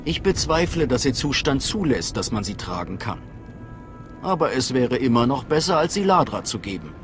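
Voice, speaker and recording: An actor voicing a calm, sceptical scholarly steward of a cult in a video game, earnest and persuasive. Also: male voice, mid-range voice, moderate pace, lightly acted. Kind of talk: dramatic video game dialogue. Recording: faint music behind voice.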